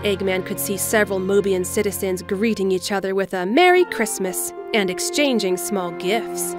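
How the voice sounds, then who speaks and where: A woman's voice: medium pitch, moderate pace. A woman reading scripted story narration as the narrator of an audio drama. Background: background music.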